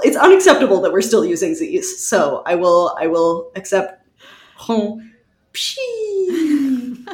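Woman podcaster in an echoey room. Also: medium pitch. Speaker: podcast co-host. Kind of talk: casual group podcast chat. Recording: roomy, echoey sound.

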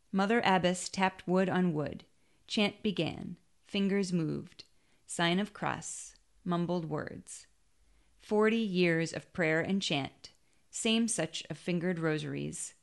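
A woman reading a literary short story in measured, clipped phrases with pauses. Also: lowish voice, gravelly.

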